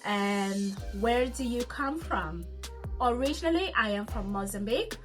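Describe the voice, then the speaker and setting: A woman's voice: on the high side, expressive, measured pace. Chatty woman over music. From Zimbabwe. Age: 30s. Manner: chatty, reads out each question then answers it. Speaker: vlogger. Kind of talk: casual get-to-know-me tag.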